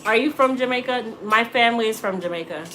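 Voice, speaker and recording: A woman's voice: on the high side, fast, a bit bright, slight background noise, poor audio. A middle-aged American woman, a hairstylist, chatting casually with live-stream viewers, joking and teasing as she answers. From United States. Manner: joking, teasing, laughs often.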